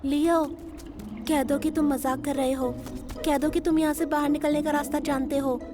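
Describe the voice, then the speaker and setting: A woman voicing an excitable, doubting young male tiger cub in a children's cartoon, with a blunt, whiny way of talking. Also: high voice, moderate pace, close to an everyday voice. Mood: worried, pleading. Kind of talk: dubbed children's cartoon acting. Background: prominent music.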